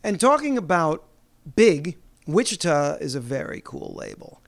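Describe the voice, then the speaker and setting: A man's voice: fairly high pitch, sing-song, creaky. A man from the New York area interviewing musicians in a playful, chatty way. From New York area, USA. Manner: playful, chatty, trails into quick asides. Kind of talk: casual interview chat.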